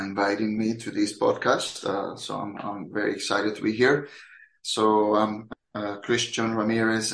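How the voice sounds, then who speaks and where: Echoey, halting man with Dominican Spanish accent. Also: lowish voice, gravelly, a bit bright, slight background noise. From Dominican Republic. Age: 50s. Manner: hesitant, halting. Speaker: podcast guest. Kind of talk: casual personal storytelling.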